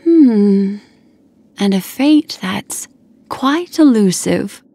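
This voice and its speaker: A woman voicing a composed, mysterious reader of memories in a video game, speaking in a measured, elegant, musing way. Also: mid-range voice, lively intonation, mellow, dry recording, full character voice. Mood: intrigued, musing. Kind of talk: video game character voice acting.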